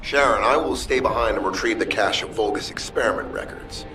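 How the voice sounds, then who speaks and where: Man as a low-voiced field operative. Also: fairly quick, close to an everyday voice. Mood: businesslike. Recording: distant, music behind.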